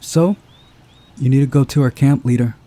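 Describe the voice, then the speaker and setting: A man's voice: mid-range voice, normal speed, dark tone, voice pushed up, close to an everyday voice. A man voicing a flippant young actress who plays a camp girl in a visual novel, light and casual. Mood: greeting.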